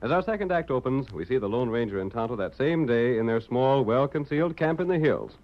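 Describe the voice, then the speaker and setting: A man's voice: medium pitch, animated, a little fast, slight background noise. A man narrating a western drama as a radio announcer, in dramatic scripted 1940s style. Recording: dull vintage radio recording.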